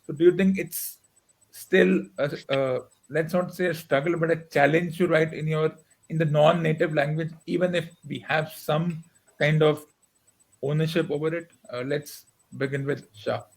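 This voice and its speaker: A young man, moderating a literary panel in a halting way, often restarting his sentences. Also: on the high side, steady tone, creaky.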